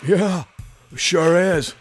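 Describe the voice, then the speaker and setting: Guy acting a chill slacker writer, kinda high voice, slow and nonchalant, easily pushed around, audio drama. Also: soft background music. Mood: nonchalant, compliant. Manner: casual, nonchalant. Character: laid-back, idle male writer, easily pushed around.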